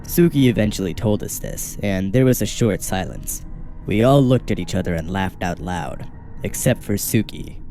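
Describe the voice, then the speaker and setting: A man tells a scripted horror story in the first person, in a steady and measured way. His voice sits fairly low and sounds soft and mellow. Faint music runs quietly behind him. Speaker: narrator.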